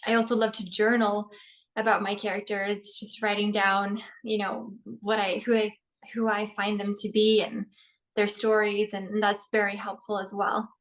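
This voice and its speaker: Measured actress, flat tone. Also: female voice, mid-range voice, rough recording. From Norway. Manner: thoughtful and measured, with frequent ums. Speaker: actress, interview guest. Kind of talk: casual interview answers.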